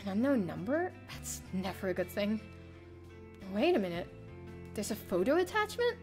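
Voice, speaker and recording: Expressive young woman narrating, lively pitch. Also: moderate pace. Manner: expressive, theatrical. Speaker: streamer reading a visual novel aloud. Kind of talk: dramatic first-person story narration. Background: soft game music.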